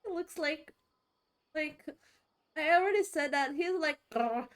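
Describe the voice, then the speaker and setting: A woman giving casual live gameplay commentary, giggly and halting, often stumbling over and repeating her words. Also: high-pitched, expressive. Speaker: game streamer.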